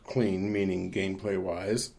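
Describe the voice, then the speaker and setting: A man's voice: low-pitched, little pitch movement, measured pace, vocal fry, a little mellow. A man talking casually as a podcast host, in a rambling, informal and opinionated way. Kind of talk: casual discussion of games.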